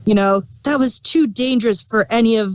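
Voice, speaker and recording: A woman's voice: a little high, fairly quick, no room sound. A woman co-hosting a casual review chat, thinking aloud in a tentative way. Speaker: podcast co-host reviewing audio dramas.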